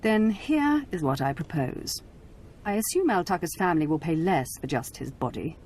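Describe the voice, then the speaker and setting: Brief: a smug, cruel game noblewoman, slightly low voice, cold and mocking. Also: expressive, moderate pace, a little mellow, a little hiss or hum. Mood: condescending. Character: scheming haughty noble lady, cruel and possessive. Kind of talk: video game cutscene acting.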